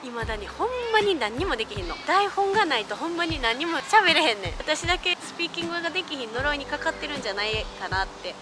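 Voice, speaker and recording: A woman's voice: high voice, expressive, rapid-fire, few pauses. A young woman with a Kansai accent, chatting animatedly to camera for her vlog while outdoors in a park. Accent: Kansai Japanese. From Japan. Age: young adult. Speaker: vlogger. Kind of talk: casual vlog talk to camera. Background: background music.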